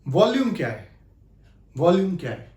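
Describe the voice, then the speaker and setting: A man's voice: sits high, very animated, moderate pace, dark tone, roomy sound. A man giving an instructional talk as an acting coach, explaining emphatically. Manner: explanatory, emphatic, repeats key phrases to demonstrate.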